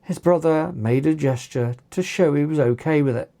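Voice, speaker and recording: A narrator reading an action story as its author, with animated, dramatic delivery and acted dialogue. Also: mid-range voice, moderate pace, creaky. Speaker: author narrating own book.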